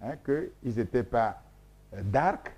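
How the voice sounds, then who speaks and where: A man from Congo whose mother tongue is Mboshi lays out his research as an interview guest. He talks in an emphatic, lecturing, repetitive way with many hesitations. His voice is mellow and dark, at a medium pitch. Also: animated, takes it slowly, slightly rough. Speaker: scholar being interviewed. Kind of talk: explanatory interview talk.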